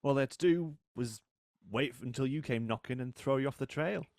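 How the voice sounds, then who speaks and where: A man's voice: medium pitch, a little mellow, dry recording, studio quality, lightly acted. Actor playing a mocking, cold, vengeful British man. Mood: smug. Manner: mocking, unhurried, goading. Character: taunting, cold, vengeful brother accused of violent crime. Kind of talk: audio drama acting.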